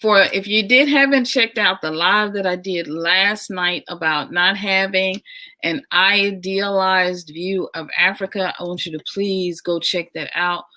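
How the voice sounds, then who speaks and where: A woman hosting a casual livestream, talking warmly and enthusiastically, repeating her phrases and making chatty asides. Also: mid-range voice, unhurried. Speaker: livestream host.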